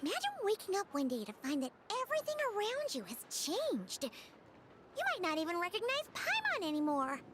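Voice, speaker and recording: Very high squeaky lil game fairy, bubbly, super swoopy, faint noise behind. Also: female voice, huge pitch swings, moderate pace, full character voice. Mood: puzzled, uneasy. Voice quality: childlike, squeaky. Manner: exclamatory, bubbly. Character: tiny excitable, chatty fairy companion girl. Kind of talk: anime-style video game acting.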